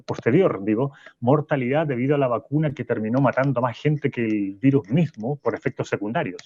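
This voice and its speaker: Man playing a halting Chilean professor, slightly muffled. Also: mid-range voice, darkish tone, dry recording, close to an everyday voice. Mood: halting, critical. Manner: halting, rambling, stammering. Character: male professor, weary expert guest in a bleak future. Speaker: interview guest. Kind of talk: radio drama interview.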